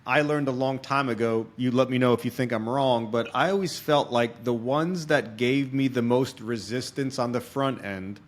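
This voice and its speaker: A man's voice: medium pitch, moderate pace, few pauses. A man hosting a podcast interview, explaining things conversationally and often checking in with his listener. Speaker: podcast host and experienced salesman.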